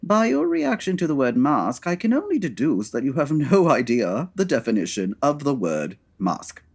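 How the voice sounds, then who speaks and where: A woman playing a pedantic male Victorian detective in a comedy audio drama, with a British accent and formal, exacting, husky delivery. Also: a bass voice, wildly swooping pitch, moderate pace, a little mellow, heavily acted. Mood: exasperated, precise.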